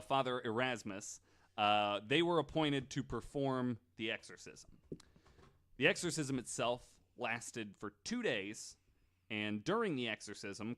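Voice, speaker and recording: A man's voice: medium pitch, fairly bright tone. A man hosting a podcast, relating a story from his notes in a loose, casual way with lots of fillers and restarts. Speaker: podcast host.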